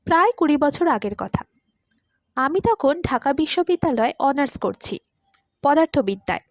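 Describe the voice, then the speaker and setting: Woman reading as a chatty, wistful man of forty, high-pitched. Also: expressive, moderate pace, no echo, highs cut off. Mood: wistful, confiding. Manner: talkative, confiding, polite. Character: chatty, courteous, wistful man of about forty telling his story. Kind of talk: audiobook character dialogue.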